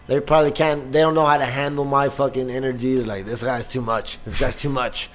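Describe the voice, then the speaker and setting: A man's voice: medium pitch, little pitch movement, fairly quick, a bit bright. A man hosting a casual podcast, talking energetically and swearing constantly. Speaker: podcast host.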